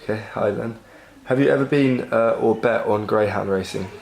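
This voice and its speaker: A man interviewing people, asking questions with frequent ums and pauses. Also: fairly low pitch, creaky, darkish tone, slight background noise. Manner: hesitant, many ums. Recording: echoey room.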